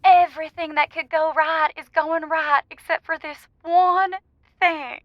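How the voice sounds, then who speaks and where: A woman's voice: very high voice, level tone, unhurried, dry recording. An actress voicing an anxious, lovesick young woman calling a radio show, fretful and earnest, through a dull phone-like line. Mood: earnest, exasperated. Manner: fretful, earnest, over-dramatic. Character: Sylvie, anxious, lovesick, gushing young female radio caller. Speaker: caller. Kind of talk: comedic radio drama phone-in.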